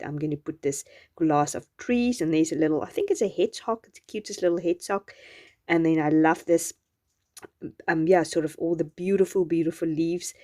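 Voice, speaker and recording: A South African woman whose first language is Afrikaans, chatting gently through a journaling craft voice-over. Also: sits low. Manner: gentle, chatty, meandering with restarts. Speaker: journaling channel host.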